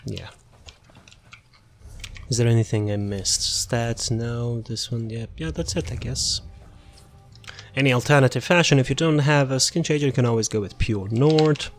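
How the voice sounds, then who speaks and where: A man's voice: medium pitch, normal speed, pauses often. A man giving casual, unscripted video game guide commentary, rambling and thinking aloud as he goes.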